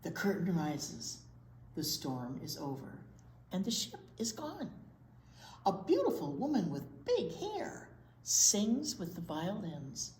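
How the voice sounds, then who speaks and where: An American woman reading aloud at a storytime for young children, expressive, with drawn-out words and chatty asides. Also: lowish voice. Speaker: children's librarian and storytime reader. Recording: roomy echo, faint background noise.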